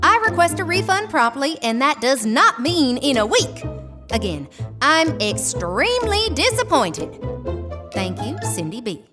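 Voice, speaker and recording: A woman's voice: high voice, very animated, music in the background. An actress playing a haughty, demanding woman customer who reads out her complaint in an emphatic, indignant way in an audio drama. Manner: emphatic, indignant, drawn-out delivery. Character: haughty, demanding, disappointed female online customer.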